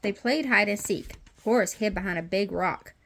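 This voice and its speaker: A woman reads a picture book aloud for children in an expressive storytelling style. Her voice has a middling pitch for a woman. It is recorded in a home playroom with some faint background noise. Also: moderate pace. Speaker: read-aloud host. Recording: noisy home room with clicks.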